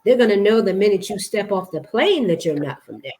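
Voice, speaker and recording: A woman's voice: medium pitch, animated, fairly quick, warm tone. A woman hosting a casual podcast conversation, encouraging and emphatic, who repeats phrases for effect. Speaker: podcast host.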